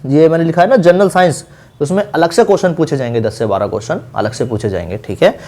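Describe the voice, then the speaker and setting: Male coaching-channel teacher, slightly high voice, instructive informal explainer delivery with repeated key points. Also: fast, a little mellow. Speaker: exam-preparation teacher and channel host. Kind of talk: informal explainer lesson for exam candidates.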